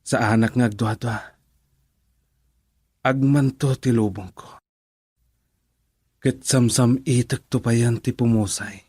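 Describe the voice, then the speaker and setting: A young Ilocano suitor, earnest and lovestruck. His mid-pitched voice speaks in romantic, poetic phrases in radio drama style, with a close, dry sound. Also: steady tone, takes it slowly, pauses often, warm tone, breathy edge, no room sound.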